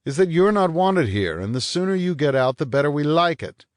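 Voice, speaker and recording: A man's voice: mid-range voice, expressive, fairly quick, dry recording, clean studio sound, pitched above the performer's own voice, lightly acted. A man voicing a vain, irritable man who snaps out his lines with hostility. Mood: angry. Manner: snapping, hostile.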